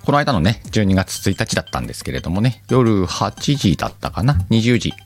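A man's voice: fairly low pitch, sing-song, brisk. A man hosting a podcast in a chatty, joking, self-deprecating way. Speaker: podcast host. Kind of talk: casual chat.

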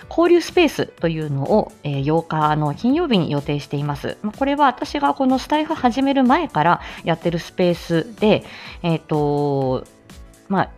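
A woman's voice: deep voice, darkish tone. A woman hosting a casual live audio show, chatting with and greeting listeners and laughing often as she talks. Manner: laughs often while talking.

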